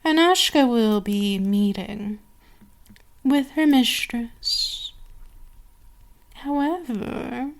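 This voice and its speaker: Vampire queen played by a woman: seductive and domineering ASMR mistress, higher-pitched, extremely unhurried delivery with savoring pauses. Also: expressive, exaggerated voice. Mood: conspiratorial, measured. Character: commanding seductive vampire queen addressing her enslaved servant. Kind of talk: ASMR roleplay, scripted monologue.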